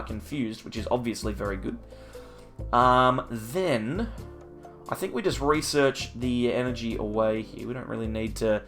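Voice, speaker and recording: Male host, a bit croaky from hay fever, relaxed thinking-aloud commentary over his own card game, faint guitar bed. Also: mid-range voice. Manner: thinking aloud, musing over each move with frequent ums.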